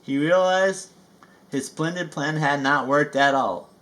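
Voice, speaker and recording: A man reading a children's story aloud with folksy, chatty asides and drawn-out emphasis. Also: fairly high pitch, fairly bright tone. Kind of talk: animated read-aloud narration with chatty asides.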